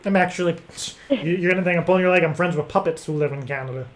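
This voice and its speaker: A man making a prank phone call, rambling in an earnest act with hesitant, halting phrasing. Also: fairly high pitch. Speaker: prank caller. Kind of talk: improvised phone prank chat.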